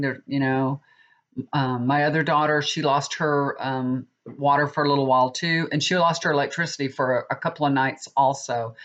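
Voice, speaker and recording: Middle-aged woman, sniffly with a cold, rambling casual vlog chat, dull lo-fi sound. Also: sits low. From Texas. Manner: chatty, rambling, with many restarts. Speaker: tarot reader. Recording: dull lo-fi recording.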